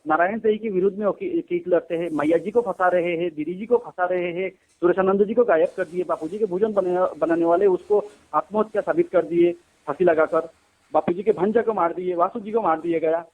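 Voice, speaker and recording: A man with a high voice argues on a recorded phone call. He is a native Gujarati speaker. He scolds and presses, repeating the same challenge again and again in a heated way. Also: brisk. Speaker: devotee of a guru, arguing on a phone call.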